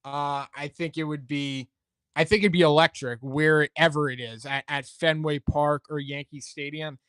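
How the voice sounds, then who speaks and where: Male sports podcaster, smooth tone, dry close sound, casual and conversational, reasons things out as he goes. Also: a little high, steady tone, normal speed, a bit bright, dry recording. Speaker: sports talk show host. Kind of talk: casual sports commentary chat.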